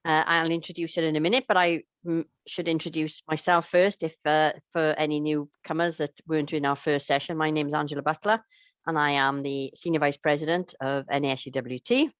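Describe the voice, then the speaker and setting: A woman's voice: deep voice, steady tone, brisk, dry recording, lo-fi sound. A Welsh woman chairing an online conference session, chatty and hesitant with many fillers. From Wales.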